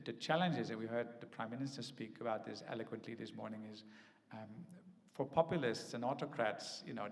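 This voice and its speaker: A man on a conference panel, speaking thoughtfully with many "ums" and tag questions like "right?" and "no?". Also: mid-range voice. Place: large conference hall. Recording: echoey conference hall.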